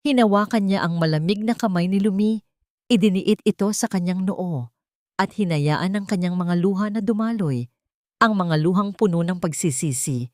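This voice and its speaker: A woman's voice: fairly low pitch, a little mellow, no echo, studio quality. A woman narrating a dramatic audio story in an earnest, emotive reading style.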